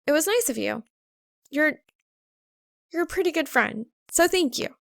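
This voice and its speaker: A high-voiced, flustered college girl, played by a woman reading a story. She stammers and blurts, earnest but quick to get defensive, in a dry audiobook recording. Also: animated, vocal fry, no echo, heavily acted. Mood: awkward, forced cheer.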